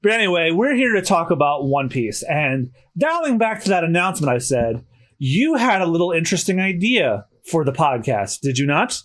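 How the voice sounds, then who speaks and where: A man hosting a casual podcast, chatty and slightly hoarse, often restarting his sentences. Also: fairly high pitch, big pitch swings, normal speed, a bit bright. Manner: chatty, self-correcting restarts. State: slightly hoarse after dental work. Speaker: podcast host.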